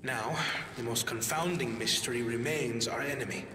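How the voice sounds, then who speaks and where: An actor playing a grave, analytical adviser in a fantasy video game, speaking formally and deliberately. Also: male voice, mid-range voice, pushed and bright, close to an everyday voice. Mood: grave puzzlement. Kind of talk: dramatic video game cutscene acting. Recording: reverberant hall effect, music behind.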